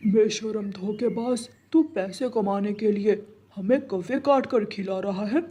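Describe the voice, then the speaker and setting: A man's voice: high-pitched, a little slow, dark tone. A man voicing an emotional, protective farmer in a children's cartoon story, speaking emphatically. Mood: indignant. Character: simple emotional male farmer, protective husband.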